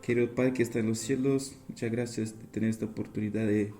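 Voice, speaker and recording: A man's voice: on the low side, fairly even intonation, quick pace, mellow, soft background music. A man speaking as an invited guest on a podcast in casual conversation.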